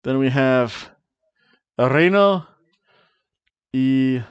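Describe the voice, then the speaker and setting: A man's voice: mid-range voice, very slow, smooth, dry recording. A middle-aged man hosting a wrestling review show, chatting casual predictions to camera from a small home room on a headset mic, playful and rolling his R's on names. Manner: playful, rolls R's on wrestler names, hums dramatic tunes. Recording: dull lo-fi dry sound.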